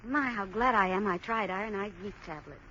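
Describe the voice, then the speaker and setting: A chatty young woman, voiced by an actress, in a 1940s radio commercial. She talks fast and lightly. The broadcast sound is dull and lo-fi with a faint hiss. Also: mid-range voice, pushed and bright, lightly acted.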